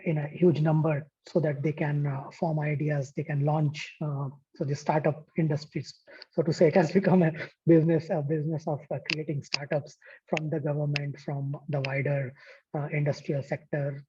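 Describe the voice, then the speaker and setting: A man speaking in an online workshop discussion, halting with frequent fillers, heard over distant, muffled call audio. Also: a little high, little pitch movement, warm tone, slightly rough. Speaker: workshop participant.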